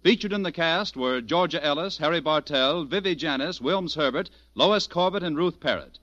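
An American man works as an old-time radio announcer, polished and promotional. His bright, fairly high voice moves with lively inflection over music on a dull vintage recording. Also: normal speed, no room sound. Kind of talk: 1940s radio announcing, scripted.

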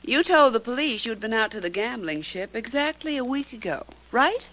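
An actress playing a bold, shrewd secretary in a 1940s radio detective drama. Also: female voice, a little high, lively intonation, moderate pace, a bit bright, a little hiss or hum, rough recording. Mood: cool, taunting. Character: bold, shrewd female secretary posing as blackmailer. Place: radio studio.

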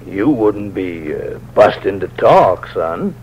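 County sheriff, unhurried, expressive swings, dry, blunt, old radio crime drama. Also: male voice, sits low, heavily acted. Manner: deliberate, dry, fatherly address. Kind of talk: 1940s radio crime acting. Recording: muffled old radio, faint hum.

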